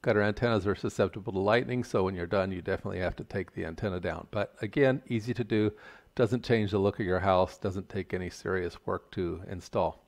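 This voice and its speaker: An older man giving a measured, plain-spoken, step-by-step tutorial explanation. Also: low voice, steady tone, vocal fry. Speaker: instructor. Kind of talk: explanatory tutorial narration over slides.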